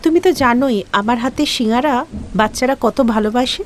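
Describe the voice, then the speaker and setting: A woman's voice: on the high side, a little fast, slight background noise, natural voice. A woman voicing a caring, resourceful young wife and mother, earnest, in a cartoon moral story. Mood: hopeful.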